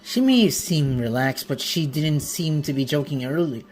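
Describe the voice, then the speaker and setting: Female streamer, deep and slow but lively in intonation, casual play-along commentary. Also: soft background music. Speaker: game streamer. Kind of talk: casual live gameplay commentary and reading.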